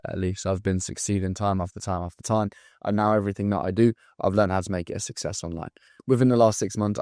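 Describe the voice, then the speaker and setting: A man in his twenties from England with a southern English accent, talking straight to camera as a sales coach in a blunt, profane, hyped-up motivational pitch. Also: darkish tone, no echo, studio quality. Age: 20s. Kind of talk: direct-to-camera pitch video.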